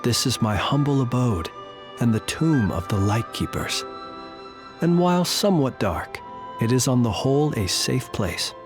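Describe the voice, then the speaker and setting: An actor playing a formal, measured male guardian of a cemetery in a video game. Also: medium pitch, animated, music in the background, lightly acted. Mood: courteous. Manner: formal, measured, old-fashioned phrasing. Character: courtly male graveyard guardian, calm and formal. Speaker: guardian of the tombs.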